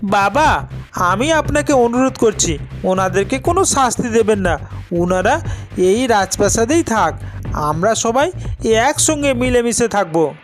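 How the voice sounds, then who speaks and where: A man's voice: high-pitched, animated, measured pace, voice pushed up. A man voicing an earnest, dutiful young monkey prince in a storybook tale. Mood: pleading.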